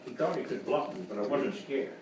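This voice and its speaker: A man playing a wisecracking, hard-boiled private detective with deadpan quips in a live radio comedy sketch. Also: medium pitch, fairly quick, natural voice. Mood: deadpan, wry. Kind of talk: radio sketch comedy, noir parody before a live audience. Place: small live venue with audience. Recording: echoey live room, distant mic, noisy.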